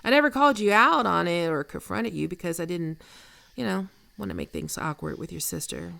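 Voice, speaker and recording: Woman acting a very creaky, teasing flirt. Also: on the low side, a bit bright, no room sound. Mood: flirtatious teasing. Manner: casual, teasing, unhurried. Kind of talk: intimate ASMR roleplay acting.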